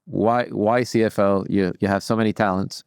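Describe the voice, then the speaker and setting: A man's voice: lowish voice, steady tone, normal speed, vocal fry, darkish tone, clear, resonant tone, no echo, studio quality. A man hosting a podcast, talking in an enthusiastic, emphatic way as he introduces and interviews. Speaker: podcast host.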